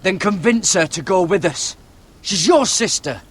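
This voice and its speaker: Casting: young deserter from a sworn watch, highish husky voice, wary, defensive, fantasy game drama. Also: male voice, animated, measured pace, heavily acted. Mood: hurt, insistent. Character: wary young runaway Night's Watch man. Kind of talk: video game drama acting.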